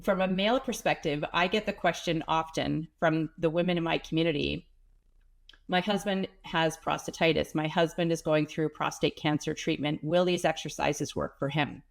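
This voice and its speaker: A woman's voice: deep voice, fairly even intonation, moderate pace, gravelly. Woman with a stuffy cold, explaining. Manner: practical, explanatory, plain-spoken about the body. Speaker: pelvic health expert guest. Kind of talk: conversational interview explanation.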